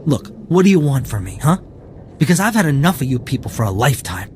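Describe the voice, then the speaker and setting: Man acting shifty game informant, whiny, indignant, lively swooping pitch, faint background noise. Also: quick pace, close to an everyday voice. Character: aggrieved, shifty male camp informant fishing for payment.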